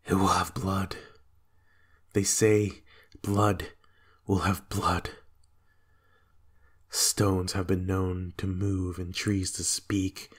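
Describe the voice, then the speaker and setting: A man playing a guilt-haunted, fearful and raging king in a Shakespearean dramatic reading, with a husky voice. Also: low voice, flat delivery, drawn-out words, long pauses, heavily acted. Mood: grim, brooding. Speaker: amateur reader in a dramatic reading.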